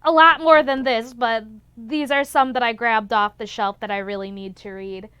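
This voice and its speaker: A woman's voice: on the high side, steady tone, normal speed, fairly bright tone, clean tone, no room sound. A young woman chatting casually and enthusiastically as a vlog host, gushing and repeating words for emphasis. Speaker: book reviewer, vlog host. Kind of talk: casual book vlog chat.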